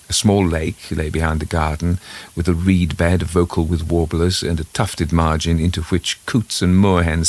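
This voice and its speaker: A man reading a classic ghost story in the first person, with a measured literary delivery. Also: low-pitched.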